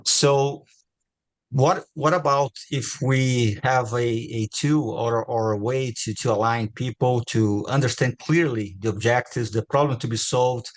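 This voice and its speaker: A man with a Brazilian accent presenting in a webinar, talking haltingly with many fillers and restarts. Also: mid-range voice, steady tone, dry recording. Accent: Brazilian Portuguese. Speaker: webinar co-host, innovation trainer.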